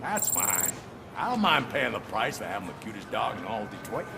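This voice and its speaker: Gravelly, animated, oblivious citizen. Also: male voice, lowish voice, moderate pace, in a large hall, noisy background. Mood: earnest, oblivious. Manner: earnest, oblivious, chatty. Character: oblivious, proud dog-owning male citizen with complaints. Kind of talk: video game voice acting.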